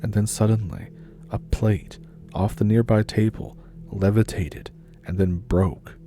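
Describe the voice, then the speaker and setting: Deep-voiced man reading scary stories. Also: fairly even intonation, dark tone, soft background music. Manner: measured, unhurried reading. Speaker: storytelling channel host. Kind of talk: scripted narration of scary stories.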